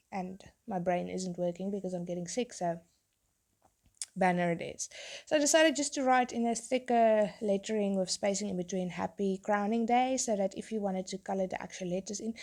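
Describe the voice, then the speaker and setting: A young woman, a tattoo artist, chatting in a rambling way while she draws, her voice hoarse from being sick. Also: medium pitch, dark tone. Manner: rambling, chatty, self-interrupting. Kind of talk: casual chat while drawing.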